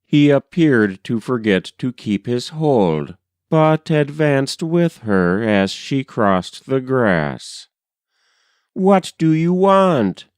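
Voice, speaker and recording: Very slow, smooth-voiced man reading poetry. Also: medium pitch, lively intonation, a little mellow, no room sound, clean studio sound. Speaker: poetry reader. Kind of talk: measured literary poem narration.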